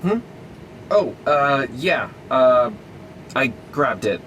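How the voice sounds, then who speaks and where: A man's voice: mid-range voice, animated, extremely slow, fairly bright tone, distant mic, slight background noise, low-quality recording. An actor playing a mild-mannered, hesitant male scientist hero. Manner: hesitant, earnest.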